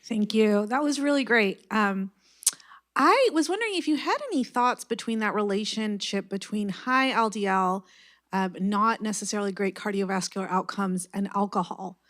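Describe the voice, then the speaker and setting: Woman, audience member, loud, direct, teaching tone. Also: medium pitch, normal speed.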